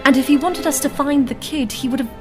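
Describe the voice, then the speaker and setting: Actress doing a wary smart adventurer lady, highish voice, formal and deductive, music playing under it. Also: fairly quick, natural voice. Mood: suspicious. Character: analytical, wary female adventurer, composed and suspicious. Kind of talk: fantasy audio drama acting. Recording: music behind the voice.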